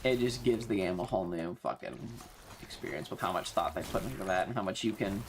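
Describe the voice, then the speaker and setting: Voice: sits low, expressive, a little fast, a little hiss or hum. A streamer chatting casually and swearing freely while playing a game on a livestream.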